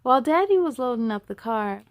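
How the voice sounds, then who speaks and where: A woman reading a children's storybook aloud as a storytime host, with expressive, emphatic delivery. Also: a little high, fairly quick, no echo, clean studio sound. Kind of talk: animated children's storybook narration.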